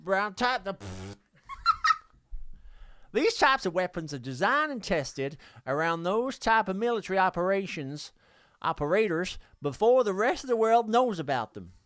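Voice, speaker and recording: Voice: mid-range voice, big pitch swings, a little slow, fairly bright tone, no room sound, studio quality. A podcast host reading listener mail aloud in a jokey, sweary way, often stumbling over the text.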